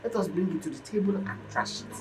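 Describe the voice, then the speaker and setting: A woman's voice: on the low side, fast talker, natural voice. An actress playing a middle-aged, domineering mother in a melodramatic film, speaking in an emphatic, declamatory, repetitive way. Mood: contrite, pleading. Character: domineering mother and stepmother, fierce then ingratiatingly apologetic. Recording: clear background noise.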